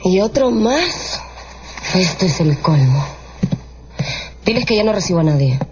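A woman's voice: fairly low pitch, sing-song, unhurried, noisy background. A woman playing a haughty, mercenary young courtesan and actress in a theatrical radio drama. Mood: bossy, annoyed. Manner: theatrical, commanding. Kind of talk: Spanish-language radio drama acting. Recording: dull radio drama recording with music.

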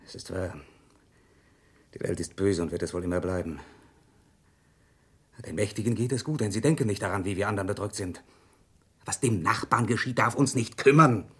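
A respectable, fearful husband of old Paris in classic radio drama style. His voice is low and husky, and he admonishes in a stern, emphatic way. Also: normal speed, long pauses. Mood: bitter, fearful.

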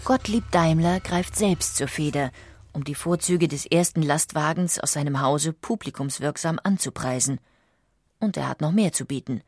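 A woman reading scripted documentary narration in a measured, articulate way. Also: low voice, a little mellow, no echo.

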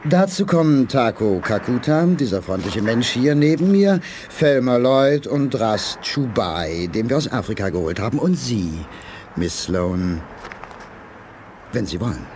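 A man's voice: mid-range voice, big pitch swings, measured pace, a little mellow. An informal, jocular sidekick in an eighties radio play. His mid-range voice moves animatedly between breezy and sceptical, over faint noise. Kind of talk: 1980s German radio play acting.

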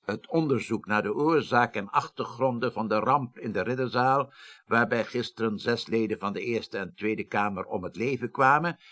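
An actor playing a self-important, inquisitive senior official, articulate and formal, in a Dutch radio drama. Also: male voice, mid-range voice, moderate pace, fairly bright tone, close to an everyday voice. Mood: reading aloud.

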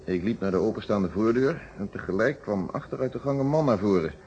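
An actor playing a steady, reflective police inspector recounting his case in a Dutch radio drama, with a Netherlands accent and measured, polite delivery. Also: on the low side, muffled recording, slight background noise. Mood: reflective, explanatory. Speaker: actor in a detective radio play.

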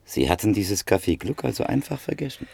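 Deep, gravelly reflective male narrator. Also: sing-song, fairly quick, darkish tone. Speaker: narrator and listener in a radio play. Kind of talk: 1950s radio drama acting.

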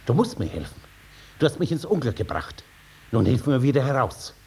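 An actor playing a desperate farmer in a 1950s German radio drama, imploring and halting as he pleads for help. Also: medium pitch, very animated, a little mellow, husky, exaggerated voice. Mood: anxious pleading. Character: desperate, pleading male farmer losing his grip. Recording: noisy 1950s radio recording.

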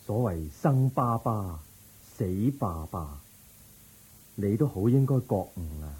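A wise, compassionate Buddha in a Hong Kong Cantonese radio drama. His low, very dark and husky voice teaches solemnly, with lively intonation. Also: heavily acted, rough recording. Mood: solemn teaching. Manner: measured, teaching through questions.

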